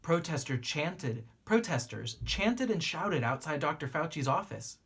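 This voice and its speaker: A teacher reading a children's picture book aloud in an animated way, with drawn-out emphasis and a slightly husky voice. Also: medium pitch. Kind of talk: expressive children's storybook read-aloud.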